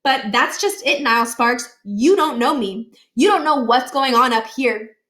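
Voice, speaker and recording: A cocky young prankster from a children's storybook, acted by a woman. The voice is high and emphatic, bragging with hot-headed indignation. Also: unhurried, sharp tone. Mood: scornful.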